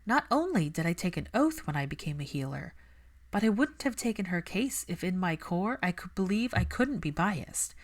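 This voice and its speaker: A woman's voice: lowish voice, big pitch swings, moderate pace. A stubborn young woman healer as a woman narrator plays her argues with firm, exact diction. She sounds earnest and principled, in the style of audiobook dialogue.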